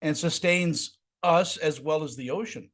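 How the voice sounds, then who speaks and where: A man hosting an interview, talking in an excitable, halting way with lots of restarts. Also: a little high. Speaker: interview host. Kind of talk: casual interview chat.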